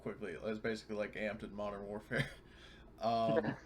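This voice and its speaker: A man's voice: fairly low pitch, little pitch movement, normal speed, a little hiss or hum. A man playing a tabletop roleplaying game, chatting casually and talking through his moves step by step.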